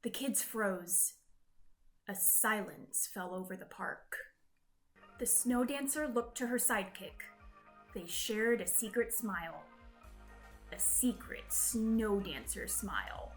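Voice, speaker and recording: Slow-paced woman reading a children's story. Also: medium pitch, soft background music. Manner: deliberate, dramatic delivery with acted-out sound words. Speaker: storybook reader. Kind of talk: expressive children's read-aloud narration.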